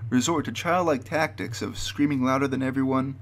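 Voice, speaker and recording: A man's voice: mid-range voice, fairly quick. A man narrating a scripted explanatory video.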